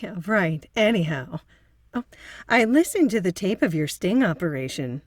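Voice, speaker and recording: A woman's voice: lowish voice, sing-song, normal speed, dry recording, studio quality. A woman playing an earnest, affectionate psychotherapist in audio drama dialogue.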